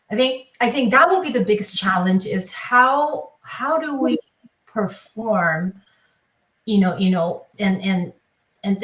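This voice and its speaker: A woman's voice: medium pitch, a bit bright. Taiwanese-accented woman in an echoey room. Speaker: classical pianist, teacher, interview guest. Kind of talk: reflective conversational interview. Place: online video discussion, voice in an echoey room.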